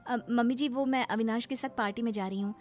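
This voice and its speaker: High-voiced polite young daughter-in-law played by a woman. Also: steady tone, fast talker, faint music behind, close to an everyday voice. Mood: apologetic, pleading. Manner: polite, pleading. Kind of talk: Hindi moral-story cartoon dub.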